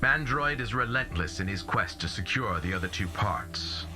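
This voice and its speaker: A man plays a giant heroic robot leader in a game cutscene. The voice is very deep, with big swings in pitch, grave and formally measured. Clear music plays behind it. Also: lively intonation, natural voice. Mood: solemn. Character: noble, solemn giant male robot commander. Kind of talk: scripted video game cutscene acting.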